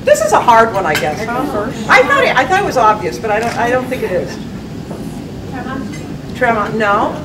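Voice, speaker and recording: A woman's voice: a little high, very animated, normal speed, long pauses, a loud crowd. A woman, a TV news reporter giving a chatty, self-deprecating trivia talk to an audience in a museum hall. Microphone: handheld microphone. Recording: echoey hall, mic at a distance.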